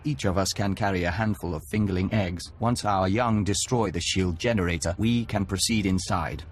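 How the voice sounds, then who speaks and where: A man voicing a calm, polite diplomat who speaks formally and evenly for an insect hive mind in a video game. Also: deep voice, noisy background, natural voice. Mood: calm, matter-of-fact. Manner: formal, measured, speaks of himself as we.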